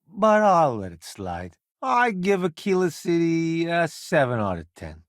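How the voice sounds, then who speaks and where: An actor playing a vain, chatty celebrity food columnist turned space crew sidekick in a video game, with a theatrical, smug, wisecracking delivery. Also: male voice, a little high, wildly swooping pitch, slow, no echo, studio quality, full character voice. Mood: wry, showy. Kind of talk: video game companion voice lines.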